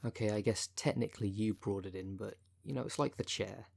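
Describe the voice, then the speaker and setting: Casting: man to play necromancer fight coach for ASMR audio roleplay, lowish male voice, wry and caring, casual and colloquial delivery. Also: fairly even intonation, fairly quick, creaky, slightly rough. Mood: wry, reassuring. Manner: chatty, colloquial, wry, consoling.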